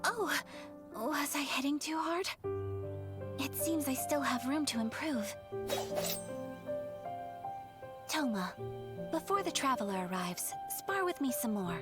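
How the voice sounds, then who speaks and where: A young noble lady of the blade, speaks with formal courtesy and composure. Her voice sits a little high, and loud trailer music plays along in true anime game fashion. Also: expressive, a little slow. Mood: gentle.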